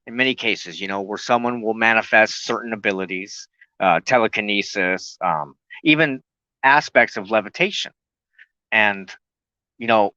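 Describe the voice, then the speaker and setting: A man giving an earnest, unscripted spoken teaching as a broadcast host, reflective and halting, often pausing in the middle of a sentence. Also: mid-range voice, bright, forceful tone, no room sound, highs cut off.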